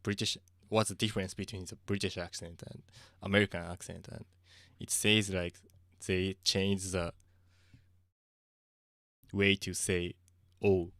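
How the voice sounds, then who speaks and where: A man with a Japanese accent talks casually to his livestream audience in a low voice. He often stops to look for words and laughs softly as he goes. The sound is close and dry, with no room echo. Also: little pitch movement. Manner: halting and searching for words, with frequent soft laughs. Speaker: streamer.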